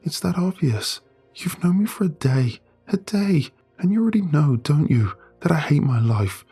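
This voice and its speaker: A man's voice: on the low side, takes it slowly, darkish tone, breathy edge, soft background music, pitched above the performer's own voice. A man voicing a troubled teenage schoolgirl who speaks with firm, weary resignation. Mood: despairing.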